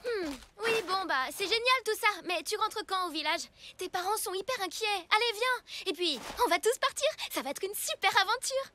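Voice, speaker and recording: A woman voicing a clever, confident child friend in a French animated cartoon, self-assured and chatty. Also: very high-pitched, normal speed, few pauses, noisy background. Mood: confident, coaxing. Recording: music behind the voice.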